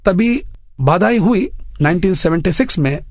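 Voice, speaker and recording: A man in his fifties from India speaks as an interview guest in a fairly high voice. He tells his story earnestly, in deliberate phrases sprinkled with English, and the studio sound is dry. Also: expressive, no echo. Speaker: interview guest, bank general manager. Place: radio studio.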